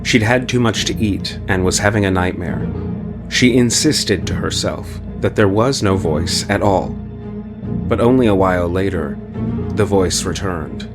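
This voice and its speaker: A male storyteller with a fairly low voice reads a horror tale in a measured, dramatic way. Loud music runs beneath his narration.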